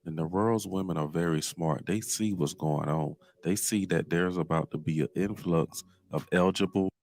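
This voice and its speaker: Deep-voiced man, dry close sound. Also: moderate pace, a little mellow, no room sound. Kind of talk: casual commentary.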